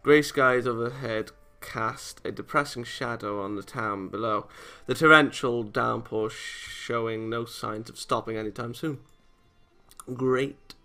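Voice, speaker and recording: Gravelly, bright-voiced man reading aloud. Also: medium pitch, measured pace. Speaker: let's-play narrator. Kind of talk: reading a visual novel aloud. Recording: music behind the voice. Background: game music.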